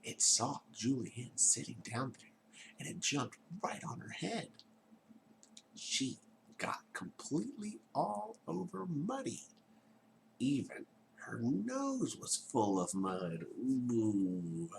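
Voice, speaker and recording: Very animated man reading to children. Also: mid-range voice, slow pace, vocal fry, a little hiss or hum, poor audio. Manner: theatrical, dropping into hushed tones for emphasis. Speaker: storyteller reading a picture book aloud. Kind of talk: animated children's read-aloud with chatty asides to listeners.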